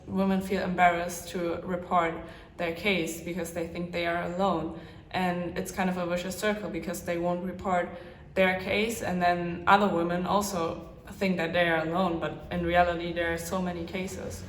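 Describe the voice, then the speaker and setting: A woman's voice: fairly low pitch, little pitch movement, moderate pace. A woman from Germany giving answers in an interview. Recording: echoey room, faint background noise.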